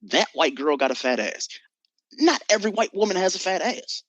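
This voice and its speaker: A woman calling in with a blunt, profane, animated rant. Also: fairly low pitch, normal speed, dry recording, highs cut off. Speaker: caller. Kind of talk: casual opinion rant.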